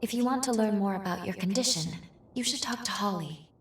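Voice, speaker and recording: An actress playing a composed, caring young woman who guides the hero in a video game, speaking in a measured, formal way. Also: medium pitch, roomy sound, natural voice. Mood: calm, concerned. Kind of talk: video game cutscene acting.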